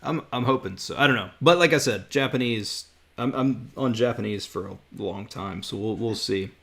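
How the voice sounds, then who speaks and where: A man's voice: on the low side, moderate pace, gravelly, fairly bright tone, a little hiss or hum. A man hosting a podcast in casual chat, often repeating words and trailing off with fillers. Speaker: podcast host.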